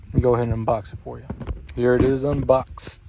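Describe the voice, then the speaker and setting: A man's voice: mid-range voice, little pitch movement, quick pace, vocal fry. A man giving a casual, offhand hands-on walkthrough as a DIY vlogger, speaking informally. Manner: informal, offhand, uses y'all. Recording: noisy handheld video with clicks.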